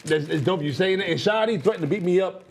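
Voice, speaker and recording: Fast, high-voiced, effusive young-sounding guy. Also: a crowd in the background. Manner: slangy, effusive, says bro often. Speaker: sports show panelist. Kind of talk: excited casual banter.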